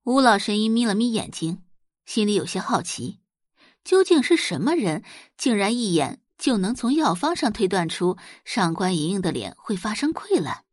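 A woman narrates a drama from a script. Her delivery is highly animated, with a husky, breathy edge and a close, dry recording. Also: normal speed, no room sound. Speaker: audiobook narrator.

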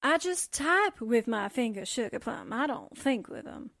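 A woman's voice: on the high side, sing-song, a little slow, creaky, fairly bright tone, no room sound, studio quality, exaggerated voice. A woman playing a chatty, dramatic young newspaper assistant in a radio drama, with a folksy, gossipy way of telling stories. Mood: eager storytelling. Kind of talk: radio drama comedy acting.

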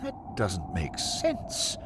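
A man voicing a pompous, excitable old-fashioned gentleman in a blustering, plummy for an audio story. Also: low-pitched, very slow, background noise, heavily acted. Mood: indignant.

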